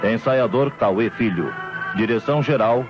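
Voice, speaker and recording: A man's voice: medium pitch, a little slow, loud music behind the voice. A man with a Brazilian accent, a radio announcer formally reading credits in old broadcast style. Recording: dull old radio recording.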